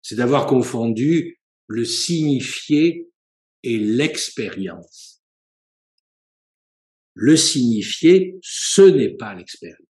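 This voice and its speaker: A man, a professor and psychoanalyst, giving a seminar lecture in a measured, explanatory way, with frequent hesitations and self-corrections. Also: medium pitch, lively intonation, darkish tone, echoey room. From France.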